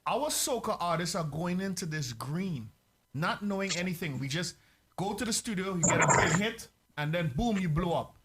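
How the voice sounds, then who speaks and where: A man with a somewhat high voice asks long, earnest questions, stressing points as he builds them. He has a broadcaster's interview manner. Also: expressive, moderate pace, very noisy. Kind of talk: radio interview.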